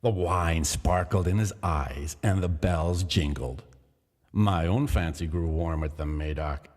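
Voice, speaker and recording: A man narrating a gothic horror tale in a measured, theatrical reading. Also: deep voice, darkish tone. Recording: long hall reverb.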